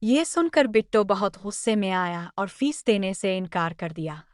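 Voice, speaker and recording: Woman, scripted story narration, crisp voice, mid pitch, dry close sound. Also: animated, moderate pace, dry recording.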